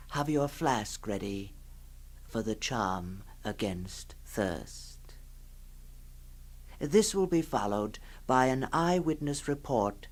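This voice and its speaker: An actor playing a sly séance host introducing a radio horror anthology, hushed, sinister and teasing, with hesitant phrasing. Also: male voice, lowish voice. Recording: 1960s radio drama with faint music.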